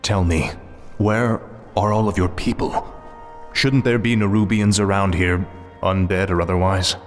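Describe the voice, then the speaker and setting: A fallen prince serving as a death knight in a video game. The voice is low and cold, with a haughty, commanding delivery over soft music. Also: male voice, steady tone, unhurried. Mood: questioning. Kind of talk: video game cutscene acting.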